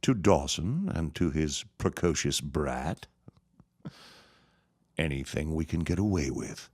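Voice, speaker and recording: An actor playing a scheming, vengeful elder reverend in a period radio drama, speaking in a pompous, florid, sneering manner. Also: male voice, extremely low pitch, expressive, slow, a little mellow, breathy edge, dry recording, studio quality, exaggerated voice. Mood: scheming, menacing.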